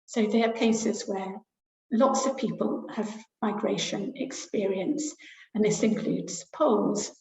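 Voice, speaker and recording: A middle-aged woman lecturer giving an academic webinar talk over a video call, pausing often with fillers as she thinks aloud. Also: medium pitch, a little mellow. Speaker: university lecturer presenting research. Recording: roomy, dull lo-fi webinar audio.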